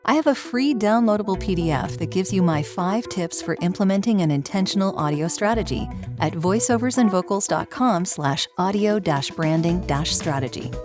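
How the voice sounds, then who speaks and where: A woman podcast host reading a scripted promotional message in a polished, measured way. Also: fairly low pitch.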